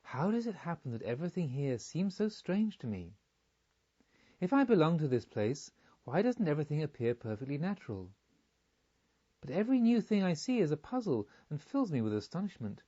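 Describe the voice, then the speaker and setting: Guy reading as an earnest wondering pilgrim in a storybook, musing to himself, mellow, slightly muffled audio. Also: on the high side, sing-song, brisk. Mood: puzzled, wondering. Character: wondering newly reborn male pilgrim, earnest and pensive.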